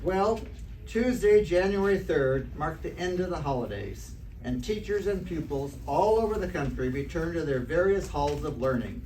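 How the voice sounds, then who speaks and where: A man announcing an old-time radio show on stage in a theatrical, measured, emphatic delivery. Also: on the high side, lively intonation, mellow. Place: live theater performance, picked up from a distance. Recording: roomy, distant stage recording with background noise.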